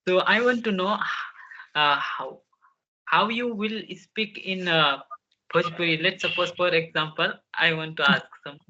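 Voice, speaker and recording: A man leading a casual conversation, speaking haltingly and restarting his sentences. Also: high voice, bright, forceful tone, slight background noise. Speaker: English conversation partner. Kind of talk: casual English practice conversation. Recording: dull lo-fi call audio.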